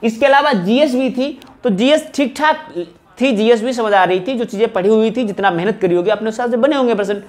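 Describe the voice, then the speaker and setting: A man's voice: extremely high pitch, a little fast. A man gives an informal explanatory lecture as a coaching teacher, often repeating phrases for emphasis. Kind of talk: informal exam analysis lecture to camera. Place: small indoor room, at a whiteboard. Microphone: wired lapel mic.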